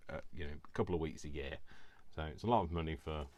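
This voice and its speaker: Deep voiced guy from the uk, bit hoarse, rambly and self-deprecating, showing a craft. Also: quick pace, a little mellow, slight background noise. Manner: rambling, self-deprecating, stumbles over words. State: slightly hoarse from allergies. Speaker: crafting tutorial host. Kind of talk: casual craft tutorial.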